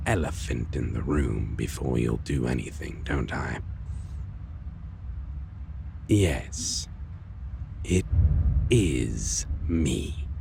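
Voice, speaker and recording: A man playing a suave, teasing vampire lover in an intimate dark fantasy audio roleplay, theatrical and fond of endearments. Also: extremely low pitch, creaky, slight background noise, full character voice. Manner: theatrical, teasing, endearments, soft chuckles, hesitant pauses. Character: suave teasing male vampire, former hunter, long-lost lover.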